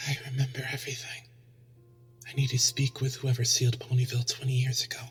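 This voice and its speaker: An urgent, sick young stallion acted by a man whispers in a breathy monotone. The words come fast over faint music in a horror audio drama. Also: medium pitch, lightly acted. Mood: urgent, determined.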